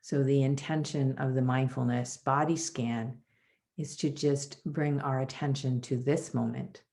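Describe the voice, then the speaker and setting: A woman leading a guided body scan meditation as a teacher, soothing and measured, with pauses between phrases. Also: a bass voice, fairly even intonation, vocal fry, warm tone.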